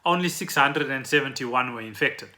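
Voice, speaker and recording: South African man, podcast host, very sharp bright tone, mid pitch, conversational explainer. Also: normal speed. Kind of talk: explaining trending news conversationally.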